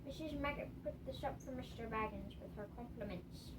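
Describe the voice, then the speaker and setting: A woman's voice: on the high side, fairly quick, lightly acted. A woman playing a plain-spoken, protective male hobbit farmer with rustic phrasing in an amateur radio play. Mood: friendly, folksy. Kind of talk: amateur radio theater acting. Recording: distant mic, clearly noisy home recording.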